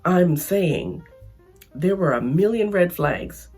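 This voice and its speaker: Hoarse Canadian woman vlogging. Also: on the low side, lively intonation, slow, a little mellow, soft background music. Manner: chatty, emphatic, frequent restarts. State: losing her voice, hoarse. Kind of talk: casual opinion vlog.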